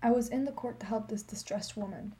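A woman playing an elderly, wry and resolute aid worker in a radio play, speaking in measured aphorisms. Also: a little high, mellow, natural voice. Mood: sombre, resolute. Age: older.

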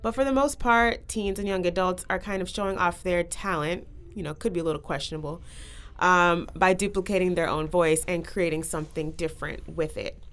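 Young woman podcast host, kinda low voice, casual chatty talk w guests. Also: steady tone, fairly quick, a bit bright.

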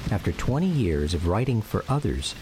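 A man narrating a scripted radio drama about his family in a measured, literary storytelling manner. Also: on the low side, sing-song, background noise. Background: loud music under the voice.

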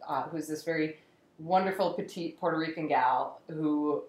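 Low-voiced woman chatting in an echoey room. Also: normal speed, darkish tone. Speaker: podcast guest in a group discussion. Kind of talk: casual chat. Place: echoey room, group conversation. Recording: roomy, echoey space.

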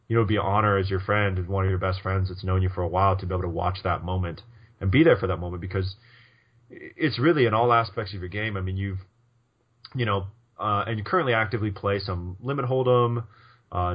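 Low-voiced man rambling on a podcast. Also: brisk, highs cut off. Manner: rambling, frequent restarts and fillers. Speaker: podcast co-host. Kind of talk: casual podcast chat.

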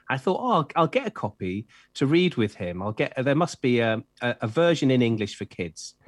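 Middle-aged male author, eager and chatty, mid-range pitch, dry close mic. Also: no echo. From Wales. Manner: enthusiastic, chatty with many fillers and restarts. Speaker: children's author and editor. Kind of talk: interview chat.

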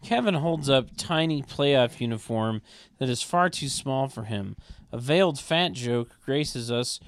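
A man narrating a script's stage directions at a table read, with deadpan, mocking asides. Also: mid-range voice, a little slow.

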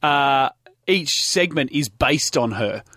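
A man's voice: medium pitch, animated, takes it slowly, bright, forceful tone, dry recording. A young man co-hosting a radio show, teasing his partner and cutting in playfully, on a studio microphone. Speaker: radio co-host. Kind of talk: casual on-air banter.

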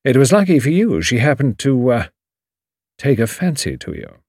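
A man voicing a shrewd, grave older English lawyer in an audiobook, measured, precise and formal. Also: medium pitch, very animated, darkish tone, no room sound, clean studio sound, pitched above the performer's own voice. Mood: dry, probing. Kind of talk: audiobook character dialogue.

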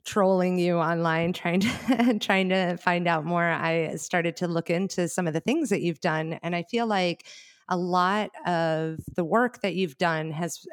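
A woman with a somewhat low voice hosts a casual interview. She asks long, rambling questions in a friendly way. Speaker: host.

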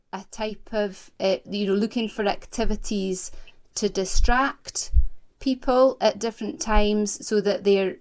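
Woman doing an interview, gentle, lots of ums, mid pitch. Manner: gentle, hesitant, many fillers. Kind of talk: oral history interview. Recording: phone call interview.

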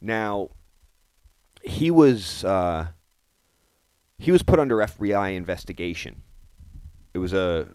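A man hosts a current-affairs podcast in a low, deep voice. He sounds indignant and emphatic, repeating phrases to drive his point home. The sound is dry and close, with no room echo. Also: darkish tone. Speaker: podcast host. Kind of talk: opinionated current-affairs commentary.

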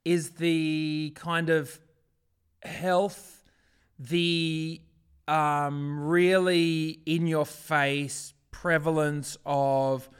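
High-pitched Australian man in reflective chat. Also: fairly even intonation, very slow, clean tone. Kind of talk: casual chat, reflective anecdotes.

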